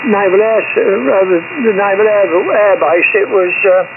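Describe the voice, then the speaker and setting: High-pitched man on noisy shortwave radio. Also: a little slow, vocal fry. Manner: chatty, repeats words for emphasis, calls listeners mate. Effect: shortwave ham radio transmission. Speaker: amateur radio operator. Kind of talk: casual chat over ham radio. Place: received over HF amateur radio. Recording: thin narrowband shortwave radio with heavy noise.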